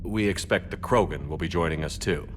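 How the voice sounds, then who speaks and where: Man acting as resolute male commander, low voice, firm, measured, video game drama, noisy background ambience. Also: close to an everyday voice. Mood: businesslike. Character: resolute human male military commander, calm and steady. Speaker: player character military commander.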